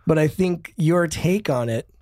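A man hosting a casual interview, relaxed and giving many short agreements. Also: fairly high pitch, a little slow, dry recording, studio quality.

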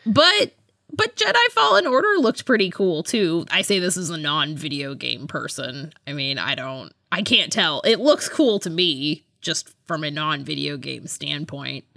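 A woman's voice: low-pitched, sing-song, unhurried, vocal fry, sharp tone, no echo, studio quality. A woman co-hosting a podcast in casual chat, with a giggly, self-deprecating way of talking. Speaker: podcast co-host.

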